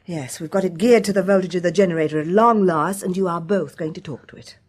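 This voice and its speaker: An actress playing a determined, practical British woman in a classic radio drama, brisk and insistent. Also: lowish voice, a little mellow, slight background noise, lightly acted. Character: brisk, determined English female organiser, firm and practical.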